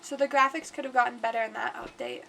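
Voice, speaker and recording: A woman's voice: a little high, fairly even intonation, fast talker, creaky, bright, forceful tone, slight background noise. A congested woman livestreaming, chatting casually and rambling through gameplay commentary. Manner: chatty and rambling, talks to the game aloud.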